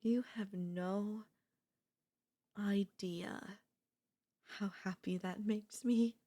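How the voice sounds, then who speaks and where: A woman's voice: mid-range voice, steady tone, drawn-out words, warm tone. A woman playing an obsessive, lovestruck and gleefully sinister older sister in an ASMR audio roleplay. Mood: smitten, coaxing.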